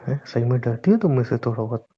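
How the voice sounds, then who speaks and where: A man's voice: medium pitch, expressive, fast, lightly acted. A young man playing a teasing, boastful newlywed husband in casual, bantering audio-drama dialogue. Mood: playfully bossy. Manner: informal, bantering. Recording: dull lo-fi phone-call style audio.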